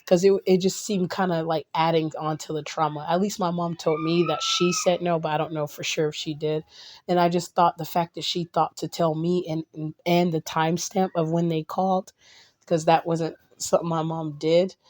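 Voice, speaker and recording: A woman vlogging casually to her viewers, a little hoarse and raspy from a cold, rambling with restarts and blunt asides. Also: low voice, very animated, normal speed, darkish tone. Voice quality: slightly raspy. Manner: blunt and outspoken.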